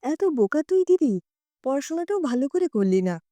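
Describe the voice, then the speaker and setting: Audio story lead: woman playing anguished young man, pleading, high for a male, very soft tone. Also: very animated, normal speed, no echo. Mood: earnest. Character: heartbroken, despairing young man, a jobless student.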